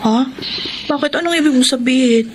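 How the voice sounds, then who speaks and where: Actress as tender high-voiced young girlfriend. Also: moderate pace, a little hiss or hum, lightly acted. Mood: concerned, tender. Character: May, young college student, tender understanding girlfriend. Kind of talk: Tagalog radio drama acting.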